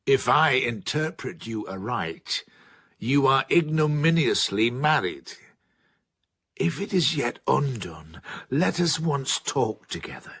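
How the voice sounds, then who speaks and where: Period radio drama: proud, ailing old gentleman scholar, formal and oratorical, husky, highly animated. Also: takes it slowly, no room sound, clean studio sound, exaggerated voice. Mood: indignant, pleading. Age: older. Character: ailing, proud old English man of letters, vehement and wounded.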